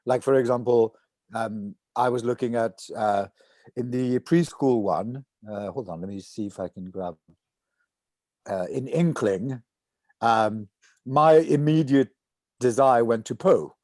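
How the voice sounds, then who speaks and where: An older man with a mid-range voice talks through ideas at a casual online rehearsal. He pauses and restarts as he thinks aloud. The sound is dry, with little room around it. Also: medium pitch, dry recording. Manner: halting, thinking out loud. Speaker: actor. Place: indoor room at home, video call.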